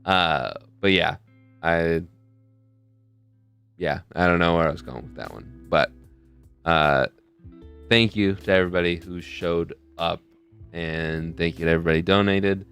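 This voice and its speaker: A man's voice: low-pitched, a little slow, vocal fry, pauses often, fairly bright tone, clean tone, faint music behind. A young man hosting a charity live stream in a casual, rambling way, often losing his train of thought. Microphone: desk mic close to a face camera.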